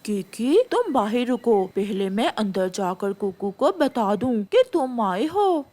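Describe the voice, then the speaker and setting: A woman's voice: fairly high pitch, very animated, slow pace, dark tone, full character voice. A woman voicing a sly, scheming young male animal schoolmate in a children's cartoon, sneaky and falsely friendly. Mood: falsely concerned. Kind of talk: children's cartoon story voice acting.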